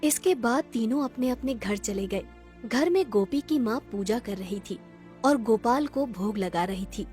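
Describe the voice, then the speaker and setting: A woman's voice: on the high side, animated, unhurried, a little mellow, soft background music. A woman reading scripted narration for a children's moral story.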